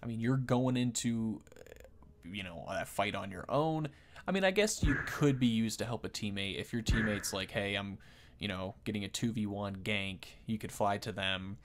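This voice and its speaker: A man's voice: on the low side, expressive, moderate pace, background noise. A man giving casual, off-the-cuff game commentary as a video host, rambling and thinking aloud.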